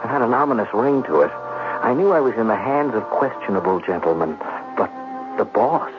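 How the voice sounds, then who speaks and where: An actor playing an anxious, indignant gambler, narrating and pleading theatrically in a 1970s radio drama. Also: male voice, mid-range voice, animated, moderate pace, lightly acted. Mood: dramatic narration. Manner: theatrical, stammering, nervous. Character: anxious, indignant male gambler in debt, narrating his plight. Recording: dull vintage radio recording, music behind.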